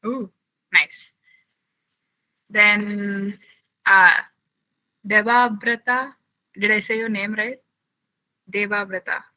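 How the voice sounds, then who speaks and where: A woman's voice: medium pitch, fairly even intonation, sharp tone, poor audio. A young woman from Chennai, chatting with children while giving a science camp lab tour over a video call. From Chennai, India. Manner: chatty, asks the children many quick questions.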